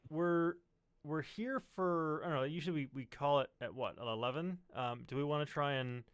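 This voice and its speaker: Wry man with a clear voice. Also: on the high side, normal speed, dry recording. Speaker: podcast co-host. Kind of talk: casual, joking chat. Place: basement at home.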